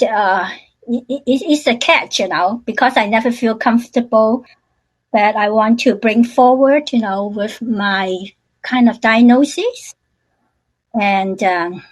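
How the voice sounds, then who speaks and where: Woman, halting testimony. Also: a little high, rough recording. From Hong Kong. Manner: halting, many fillers and restarts. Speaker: panel speaker sharing her story. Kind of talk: personal testimony on a webinar.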